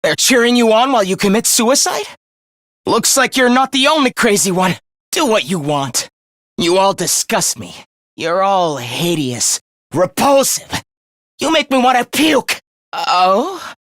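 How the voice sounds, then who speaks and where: Smug, unhinged young villain, wildly swinging pitch. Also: male voice, very animated, measured pace, fairly bright tone, no echo, clean studio sound, full character voice. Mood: manic, triumphant, then disgusted, furious. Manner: taunting, theatrical, mock-cheerful. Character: sadistic young male villain, smug, unhinged, obsessive, mockingly polite. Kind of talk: theatrical video game voice acting.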